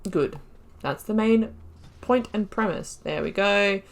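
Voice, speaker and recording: A woman's voice: mid-range voice, slow. Chatty young woman gaming commentary. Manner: chatty, excitable, talks to herself while playing. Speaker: gaming channel host. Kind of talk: casual let's-play commentary.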